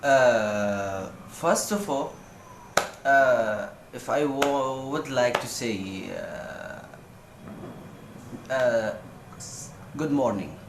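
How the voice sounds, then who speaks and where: A man's voice: medium pitch, reverberant, background noise. Young Egyptian-accented man teaching phrases. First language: Egyptian Arabic. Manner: loose, repetitive drilling of phrases, many fillers. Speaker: language video host. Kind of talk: casual teaching vlog. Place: room at home, seated on a sofa.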